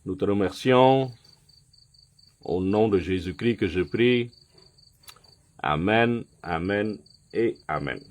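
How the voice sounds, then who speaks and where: A man who is not a native French speaker gives a sermon and Bible teaching. He talks deliberately, with frequent pauses and fillers, and often checks in with his listeners by asking "ok?". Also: on the low side. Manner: non-native French with some slips. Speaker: preacher.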